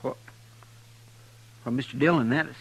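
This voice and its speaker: A man's voice: medium pitch, normal speed, creaky, fairly bright tone. An actor playing a deferential, earnest and anxious lawman's sidekick in an old-time radio western. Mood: anxious, hesitant. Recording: noisy vintage 1950s radio recording.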